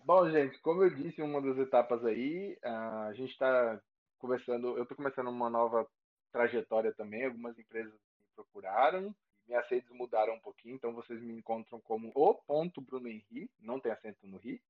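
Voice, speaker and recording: A man's voice: mid-range voice, fairly quick, phone-quality sound. A man with a Brazilian Portuguese accent, a chef guest chatting casually on a podcast, rambling and correcting himself with a playful streak.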